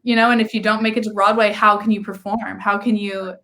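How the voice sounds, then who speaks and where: A woman's voice: medium pitch, little pitch movement, brisk, pushed and bright. A young woman, a singing client who talks candidly and laughs at herself in an interview. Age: young adult. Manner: candid, self-deprecating, laughs often. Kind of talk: casual interview.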